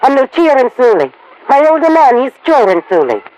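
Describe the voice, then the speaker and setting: An animated, suspicious Italian farm wife in a vintage radio drama. She talks bluntly in accented, broken English, with lots of emphasis and big swings of pitch. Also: fairly quick, muffled recording. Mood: blunt, grumbling. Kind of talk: 1950s American radio drama acting. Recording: old radio broadcast recording.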